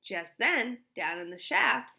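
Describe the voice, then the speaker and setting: A woman reading a children's storybook aloud in an expressive style. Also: a little high, takes it slowly, vocal fry. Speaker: storybook reader.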